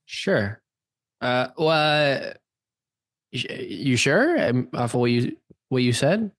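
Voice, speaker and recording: A man playing a defensive, nervous young stoner with halting dude-speak in an amateur radio play. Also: medium pitch, big pitch swings, fairly bright tone.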